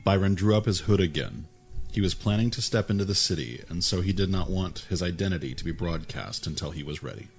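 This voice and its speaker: A man narrating a fantasy audio drama with a steady, even storytelling manner. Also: low-pitched, a little fast.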